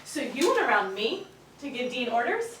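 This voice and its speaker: A woman's voice: on the high side, very animated, moderate pace, a bit bright. A woman playing a blunt, exasperated, sardonic radio writer in a live stage play. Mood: dry, suspicious. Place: indoor theater stage, picked up from afar. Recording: echoey stage, distant mic, faint noise.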